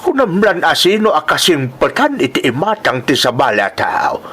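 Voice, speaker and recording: A man playing a concerned, weary old father in an audio drama, lamenting and admonishing with drawn-out sighs. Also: fairly high pitch, sing-song, a bit bright, slightly rough, heavily acted. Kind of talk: Ilocano audio drama acting.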